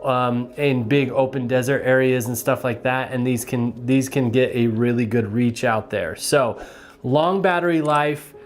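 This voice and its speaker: Man, casual product reviewer, slightly low voice, plain-spoken and explanatory, faint music behind. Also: fairly even intonation, measured pace.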